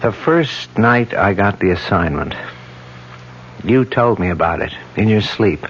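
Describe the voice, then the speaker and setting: A weary, low-voiced cop. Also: male voice, lively intonation, a little slow, a little hiss or hum. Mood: somber. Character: weary male police detective, quietly loyal husband. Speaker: police detective. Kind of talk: 1970s radio drama acting. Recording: dull lo-fi 1970s radio recording.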